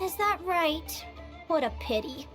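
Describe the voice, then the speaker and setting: A woman's voice: extremely high pitch, animated, measured pace. A woman voicing an eager, curious young woman guild advisor in a video game, friendly and enthusiastic in manner. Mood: disappointed. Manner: bubbly, enthusiastic. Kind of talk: video game character dialogue. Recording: music behind the voice.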